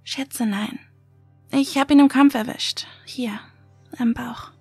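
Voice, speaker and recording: A woman plays a wary, easily indignant young woman in a horror audio drama. Her voice is fairly high and breathy, with a heavy creak. It is recorded dry, with music underneath. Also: steady tone, takes it slowly, warm tone, dry recording, heavily acted. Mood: sober, measured. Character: wary, indignant young female survival-show contestant.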